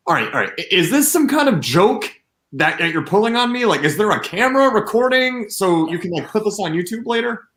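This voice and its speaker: An echoey room surrounds a flustered grown son acted by a man in an amateur play reading. He is halting and stammers over his words, in a fairly high, lively voice full of unease. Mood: exasperated. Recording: echoey, roomy sound.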